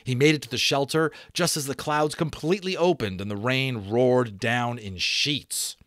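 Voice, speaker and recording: A man in his thirties reading a novel aloud as dramatic narration, with deliberate pauses and stressed repeats, close to a microphone. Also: mid-range voice, fairly bright tone, no echo. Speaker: audiobook reader. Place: small room at home. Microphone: desk microphone close in front.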